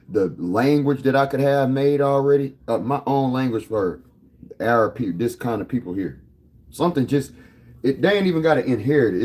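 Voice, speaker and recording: A man's voice: on the low side, a little mellow, husky. A man in his thirties talking casually to camera, boastful and rambling, full of street slang. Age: 30s. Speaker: vlogger.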